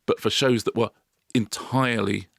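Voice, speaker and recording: A man's voice: fairly low pitch, steady tone, moderate pace, creaky, a bit bright, dry recording, studio quality. A middle-aged man, co-hosting a podcast in casual, enthusiastic chat that rambles with frequent restarts. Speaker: podcast co-host.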